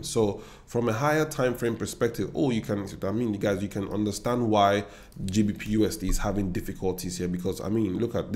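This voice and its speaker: A man's voice: fairly low pitch, a little mellow. A young Nigerian man with a Nigerian accent talking viewers through forex charts in a confident, chatty way, recorded at his desk. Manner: confident, chatty, talks straight to viewers with asides. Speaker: forex trader and educator. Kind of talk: screen-share trading analysis. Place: indoor room.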